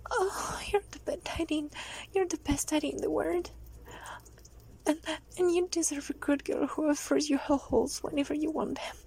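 A young adult woman performing a solo audio roleplay in a soft, breathy, pleading manner, often dropping into a whisper. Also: sits high, level tone. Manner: slow, drawn-out delivery with frequent whispering.

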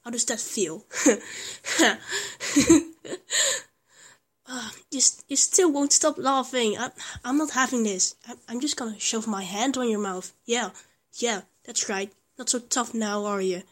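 A woman's voice: medium pitch, steady tone, unhurried, few pauses, close to an everyday voice. A woman voicing a small, affectionate young lover who whispers, stammers and rambles between giggles. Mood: flustered. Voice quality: breathy whisper. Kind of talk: whispered intimate roleplay audio.

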